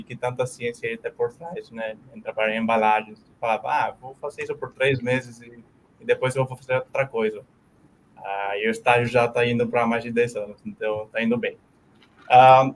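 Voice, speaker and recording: Venezuelan guy, spanish accent, pretty even flat delivery, eager, little hiss behind. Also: mid-range voice, low-quality recording. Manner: enthusiastic, self-introducing with filler "ah". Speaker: packaging R&D leader, guest. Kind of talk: casual panel talk.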